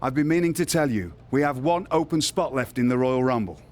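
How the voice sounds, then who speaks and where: A man's voice: mid-range voice, lively intonation, brisk, a little mellow, lightly acted. A man playing a businesslike wrestling boss in a game cutscene, speaking in a matter-of-fact, conversational way. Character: businesslike male wrestling boss handing out matches.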